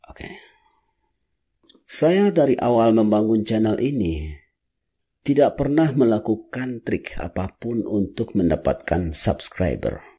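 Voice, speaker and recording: A man with a deep voice and a marked Minangkabau accent speaks to his channel's viewers. His delivery is lively and full of swings in pitch. His tone is dark and a little husky, earnest and frank. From West Sumatra, Indonesia. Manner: earnest, candid, emphatic with rising stresses. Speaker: YouTuber. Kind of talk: reflective talk to camera.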